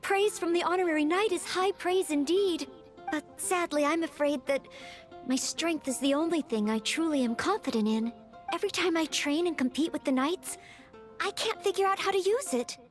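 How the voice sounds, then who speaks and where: Sweet high anime-game maid girl, super polite n earnest, bit hesitant, music behind. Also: moderate pace. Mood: modest, downcast. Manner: courteous, formal, hesitant. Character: earnest, polite young maid aspiring to knighthood. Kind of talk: video game character dialogue.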